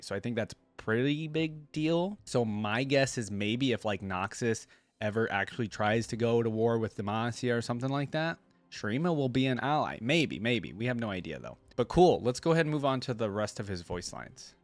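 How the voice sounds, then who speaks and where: A man's voice: medium pitch, no echo. A man chatting informally as a reaction video host, musing and speculating as he goes. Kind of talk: casual chat, reacting to game lore.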